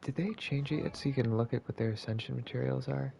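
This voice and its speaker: Guy streaming a game, mumbly thinking out loud, gravelly fry, faint noise behind. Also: mid-range voice, steady tone, quick pace. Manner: muttering to himself, thinking aloud. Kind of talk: casual live gameplay commentary.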